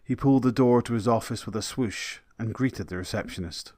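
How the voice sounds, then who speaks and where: Male narrator for scripted audio drama, dry close sound, medium pitch. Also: a little fast, darkish tone, no room sound.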